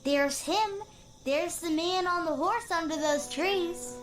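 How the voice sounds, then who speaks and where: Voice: very high voice, takes it slowly, fairly bright tone, faint music behind, heavily acted. A performer playing a timid, frightened little girl ghost, meek and whimpering, in an audio drama.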